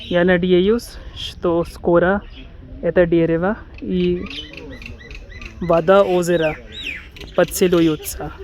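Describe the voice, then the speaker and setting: A man's voice: sits high, fairly even intonation, takes it slowly, long pauses, a little mellow, a little hiss or hum. A man from India, narrating a walking tour outdoors in a lakeside park, careful and dreamy, often correcting himself. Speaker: travel vlogger.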